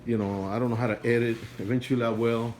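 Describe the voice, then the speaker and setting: A man with a non-native English accent, talking casually at home in a halting, repetitive way while filming an aquarium on his phone. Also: medium pitch, steady tone, darkish tone, a little hiss or hum. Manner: halting and repetitive, restarts phrases, earnest and pleading. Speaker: hobbyist vlogger. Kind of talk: casual home video walkthrough. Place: home, beside an aquarium indoors. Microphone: phone held by the speaker while filming.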